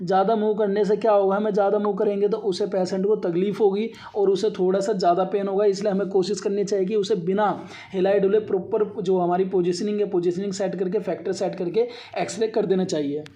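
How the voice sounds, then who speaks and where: A man's voice: high-pitched, little pitch movement, fast talker, dark tone. A man teaching as an instructor, going through a slide lecture point by point and repeating himself as he explains. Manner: repetitive, reads points out then re-explains them. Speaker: radiography instructor.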